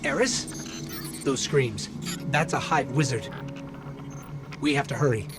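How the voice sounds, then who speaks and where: An actor playing an earnest, alert companion in a sci-fi video game, with scripted dramatic delivery. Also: male voice, mid-range voice, takes it slowly, loud music behind the voice, natural voice. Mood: earnest, urgent.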